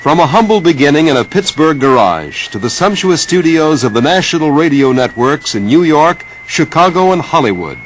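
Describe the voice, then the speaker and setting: A man's voice: on the high side, sing-song, normal speed, hardly any pauses, rough recording. A man reading scripted, documentary-style narration as a radio narrator. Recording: old broadcast tape with hum, hiss.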